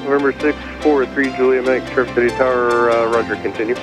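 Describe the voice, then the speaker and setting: A man working as an airport tower controller, giving brisk procedural calls over aviation radio. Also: medium pitch, fairly even intonation. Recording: aviation radio with loud music behind.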